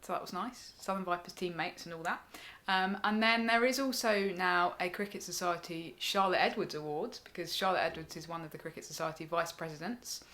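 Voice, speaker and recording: A woman, a bit croaky, co-hosting a casual podcast and chatting with frequent ums. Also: lowish voice, a little fast, fairly bright tone. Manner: chatty, many ums, laughs easily. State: hoarse. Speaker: podcast co-host. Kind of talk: casual cricket podcast chat.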